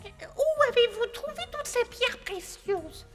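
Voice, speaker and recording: A woman voicing a greedy, insistent young animal child in a French cartoon dub, with a high childlike voice. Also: lively intonation, measured pace, fairly bright tone, soft background music, full character voice. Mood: eagerly curious. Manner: insistent, questioning.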